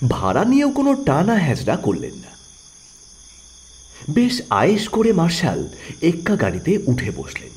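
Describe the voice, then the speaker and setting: Very animated male story narrator. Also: a little high, a little slow, long pauses, breathy edge. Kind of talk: dramatic scripted audio story narration.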